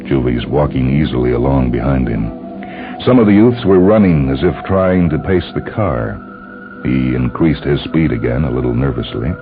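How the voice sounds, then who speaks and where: A man reading a scripted story as a dramatic audiobook narrator. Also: rumbling low. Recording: dull, band-limited lo-fi audio. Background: clear background music.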